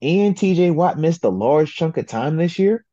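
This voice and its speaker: A man co-hosting a sports talk show, giving his picks in a laid-back, slangy, deliberate way. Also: a little high, animated, dark tone, dry recording, lo-fi sound. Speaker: co-host, football analyst. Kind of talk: casual sports chat.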